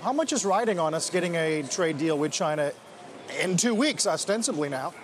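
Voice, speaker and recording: A male anchor with a notably high voice asks brisk, matter-of-fact questions on a live business show. He keeps it plain and direct. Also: animated. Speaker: TV news anchor.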